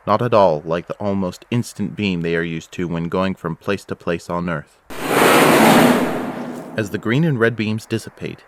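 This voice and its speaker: Deep-voiced male story narrator. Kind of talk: scripted audio drama narration. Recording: faint background noise.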